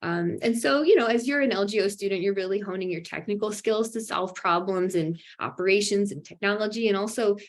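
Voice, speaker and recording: A woman's voice: mid-range voice, a little fast, hardly any pauses. Woman, webinar host, upbeat, chatty, filler-heavy. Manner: upbeat, many fillers, bright emphatic rises. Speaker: webinar host for a graduate program. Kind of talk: online information session presentation.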